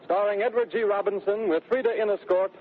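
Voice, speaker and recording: A man's voice: sits high, fairly even intonation, fast. A man making a formal, declamatory announcement as a 1930s radio network announcer. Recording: tinny 1930s radio broadcast.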